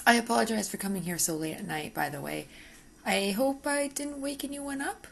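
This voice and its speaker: A woman's voice: on the high side, fairly quick, vocal fry, a bit bright. A woman voicing an obsessive, possessive but politely spoken female vampire lab technician in an ASMR roleplay, with teasing, hesitant asides. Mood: polite, eager. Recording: faint background noise.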